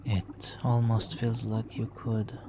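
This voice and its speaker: A man playing a smooth-talking supervillain nemesis in an ASMR roleplay, hushed, soothing and coaxing as he hypnotically seduces a captive hero. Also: fairly low pitch, monotone, background noise. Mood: hypnotic, coaxing. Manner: slow, hushed, soothing, coaxing with soft chuckles. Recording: water trickling behind the voice.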